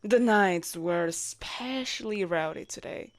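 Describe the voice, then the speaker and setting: A woman's voice: medium pitch, measured pace, close to an everyday voice. A woman playing a loyal young knight commander in a roleplay audio drama, formal and courteous but easily flustered. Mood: composed, courteous.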